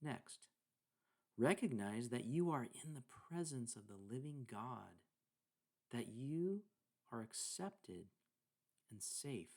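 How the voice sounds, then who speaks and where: A man leading a guided meditation, speaking deliberately in short phrases with pauses between them. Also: medium pitch, sing-song, darkish tone. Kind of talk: guided meditation narration with scripture reading.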